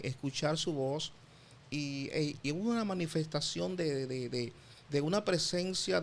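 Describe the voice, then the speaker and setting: Gravelly Dominican pastor, halting speech. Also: male voice, on the high side, a little hiss or hum. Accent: Dominican Spanish. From Dominican Republic. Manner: halting with many fillers and repeats. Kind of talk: testimony interview.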